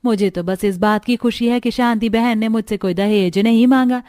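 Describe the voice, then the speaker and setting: A woman's voice: fairly high pitch, steady tone, normal speed, mellow, no room sound, studio quality, lightly acted. A woman voicing a poor, middle-aged mother in a cartoon story, earnest and fretful. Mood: earnest, resigned. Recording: music under the voice.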